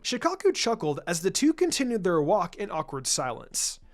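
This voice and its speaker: A woman's voice: fairly low pitch, sing-song, normal speed. A woman reading a story aloud in a scripted narration style. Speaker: fan fiction reader. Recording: background music under the voice. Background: music.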